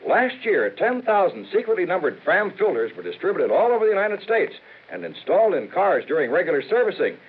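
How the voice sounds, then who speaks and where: Cheery radio-ad attendant. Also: male voice, sing-song, brisk, heavily acted. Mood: upbeat. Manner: upbeat salesman pitch. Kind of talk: 1950s radio commercial. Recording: husky phone-like old radio audio.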